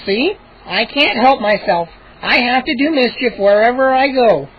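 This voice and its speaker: An audiobook reader voicing a small male mischief gnome who talks softly and stretches his words out, on a muffled recording. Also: mid-range voice, slightly rough, pitched above the performer's own voice, lightly acted. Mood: impish. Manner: soft, drawn-out, explanatory. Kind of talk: audiobook character voice.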